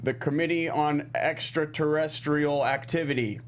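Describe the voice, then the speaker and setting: A man's voice: a little high, fairly even intonation, moderate pace, slight background noise, close to an everyday voice. A man playing a painfully genuine old man, speaking formally and earnestly in a comedy table read.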